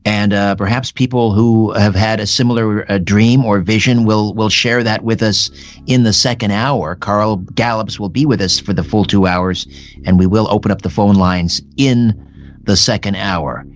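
A man's voice: on the low side, fairly even intonation, normal speed. A man hosting a radio talk show, steering the conversation toward a break. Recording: slightly dull radio sound, music underneath. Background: music bed.

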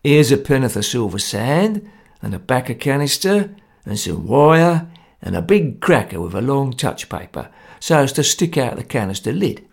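A man voicing a complacent working-class male conspirator, blunt and loud. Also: mid-range voice, very animated, normal speed, vocal fry, breathy edge, exaggerated voice. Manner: blunt, loud, self-satisfied.

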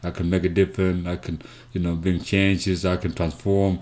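A man with a South Sudanese accent telling his story as an interview guest, haltingly and with repeated words. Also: low-pitched, level tone, a little mellow. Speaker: interview guest, foundation founder. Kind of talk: conversational storytelling.

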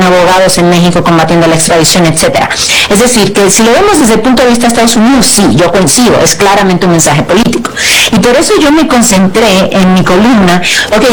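A columnist from Cuba speaking as a guest in a radio interview, with a middle-pitched voice. The talk is analytical and measured, and the speaker builds long, qualified arguments point by point. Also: rough recording.

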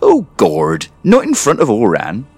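A warbling storybook scarecrow mother as a male narrator puts her on, lifted high and sing-song. She is doting and teasing as she fusses over her family, with music behind. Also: wildly swooping pitch, measured pace, a little mellow, husky, dry recording, exaggerated voice. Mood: playful.

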